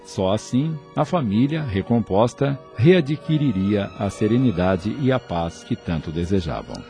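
Lively low-voiced Brazilian radio drama narrator, male. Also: a little slow, soft background music. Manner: formal, theatrical announcer delivery. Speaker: narrator and announcer.

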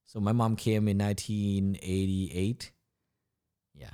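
A man's voice: on the low side, fairly even intonation, slow pace, warm tone, clear, resonant tone. A man of about fifty from Thailand, with a Thai accent and non-native English grammar, chatting casually about himself as a guest. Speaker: podcast guest, chef. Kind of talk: casual autobiographical chat.

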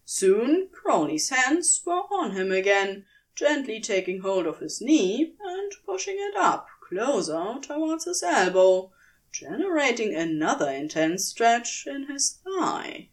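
A woman's voice: on the high side, sing-song, slow. A woman narrating scripted fiction as a storyteller, in audiobook style.

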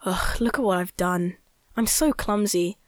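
A casual, apologetic young man voiced by a woman in a children's audio drama. The voice sits at an ordinary female pitch, politely coaxing, in a dry close sound. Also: unhurried, no room sound, lightly acted. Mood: sheepish, coaxing. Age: young adult.

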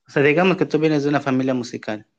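A man's voice: on the high side, racing, muffled recording. A man with a Peruvian accent asking casual interview questions over a remote call. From Peru.

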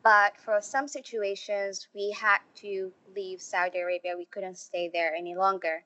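A young woman from Somalia, a refugee youth program organizer, answering interview questions slowly with many fillers. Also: medium pitch, fairly even intonation, sharp tone. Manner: slow, halting, many fillers. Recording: muffled lo-fi audio.

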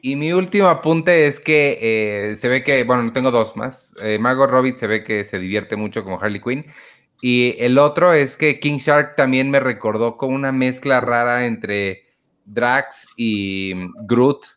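A man hosts a relaxed podcast chat about movies, drifting along with fillers and restarts. His voice sits at an ordinary middle pitch. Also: unhurried. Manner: relaxed, chatty, filler-heavy. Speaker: podcast host. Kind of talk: casual film review chat.

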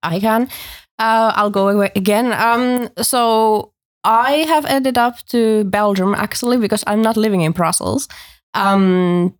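A woman's voice: medium pitch, unhurried. A woman from Finland talking casually as a podcast guest. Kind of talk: casual conversation.

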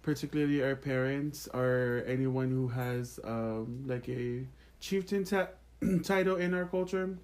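A man's voice: mid-range voice, unhurried, darkish tone. A young man from American Samoa answering interview questions in an earnest, explanatory, reflective way. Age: young adult. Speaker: interview guest.

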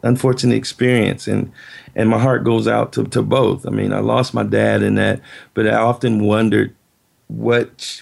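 Gravelly, husky middle-aged man, reflective. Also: lowish voice. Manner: reflective, halting, many restarts. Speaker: guest. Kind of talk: casual interview chat.

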